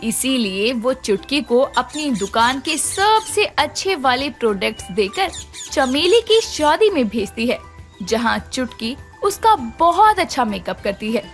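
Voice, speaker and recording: Female narrator for a children's tale, high and lively delivery. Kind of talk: scripted children's story narration.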